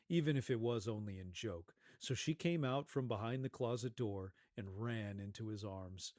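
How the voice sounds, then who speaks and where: A man narrating a scripted story as a theatrical, dramatic and expressive storyteller. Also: lowish voice, no echo, studio quality.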